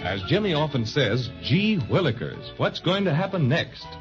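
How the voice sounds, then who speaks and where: A man's voice: fairly high pitch, very animated, moderate pace. A man playing a chummy announcer, narrating a 1930s children's radio serial. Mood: chummy suspense. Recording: muffled 1930s radio recording. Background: music.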